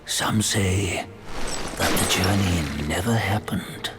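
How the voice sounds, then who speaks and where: An actor playing a knowing old storyteller in game narration, gravelly and measured with dramatic pauses. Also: male voice, low-pitched. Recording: music behind the voice.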